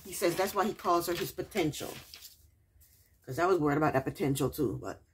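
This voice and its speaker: A woman's voice: sits low, brisk, slight background noise. A woman recapping a reality TV episode in a casual, chatty way, with sarcastic asides and repeated punchlines.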